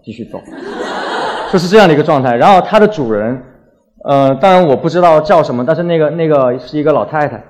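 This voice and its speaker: A man's voice: a little high, lively intonation, fast talker, a little mellow. A young man from Wuhan giving a casual talk as a graffiti artist, colloquial and full of anecdotes, acting out little exchanges as he goes. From Wuhan, China.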